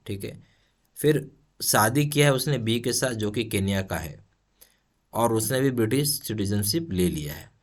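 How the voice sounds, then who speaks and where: Low-pitched Indian man teaching. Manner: instructional, checks in with frequent tag questions. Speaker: exam coaching teacher. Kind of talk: explanatory lesson reading questions aloud.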